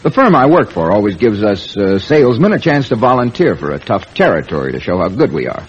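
Deep-voiced, cocky old-radio gangster. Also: male voice, very animated, brisk, dull audio. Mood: cocky, flirtatious. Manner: slangy, smooth-talking tough guy. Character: cocky, flirtatious New York hitman posing as salesman. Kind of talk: old-time radio crime drama acting. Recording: noisy old radio recording.

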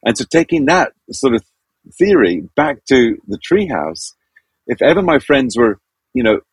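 A man's voice: medium pitch, very animated, measured pace, husky, no room sound. A man in his sixties from Zambia, a podcast guest telling a childhood story in a thoughtful, self-deprecating way. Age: 60s. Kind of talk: reflective storytelling.

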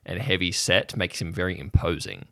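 A man's voice: deep voice, normal speed, gravelly, a bit bright, no room sound, studio quality. A man narrating an improvised role-playing audio drama as game master, describing scenes and calling for checks.

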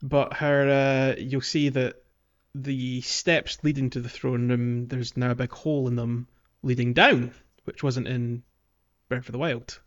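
Slow, hesitant podcast co-host, man. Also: mid-range voice, fairly even intonation, muffled recording. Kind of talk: casual podcast chat.

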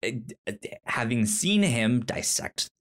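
A man's voice: medium pitch, very animated, unhurried. A man co-hosting a casual film podcast, rambling informally and stuttering as he repeats sounds and syllables. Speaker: film review podcast co-host. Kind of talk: casual movie discussion.

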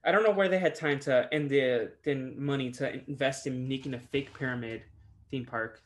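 A man's voice: medium pitch, moderate pace. Hesitant young man co-hosting a podcast. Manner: hesitant, full of "um" and "like", trailing off. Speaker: podcast co-host. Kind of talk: casual chat.

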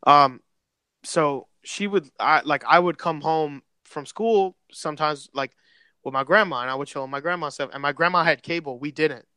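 A man's voice: on the high side, moderate pace, bright, forceful tone, clear, resonant tone, dry recording. A man whose first language is Spanish, chatting casually as a podcast guest and telling a rambling story full of slang. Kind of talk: casual chat.

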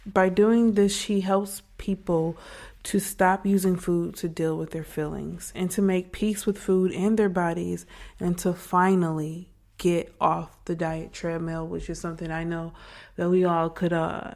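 A young American woman hosting a podcast, speaking softly with many ums and restarts in a casual interview chat. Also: lowish voice, flat delivery, measured pace, vocal fry. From Michigan, USA. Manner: soft-spoken, with frequent ums and restarts. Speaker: podcast host.

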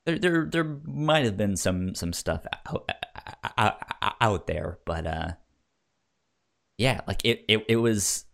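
A man's voice: fairly low pitch, animated, slow pace, vocal fry, fairly bright tone. A man co-hosting a podcast in casual review chat, often stammering and repeating words and syllables. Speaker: podcast co-host.

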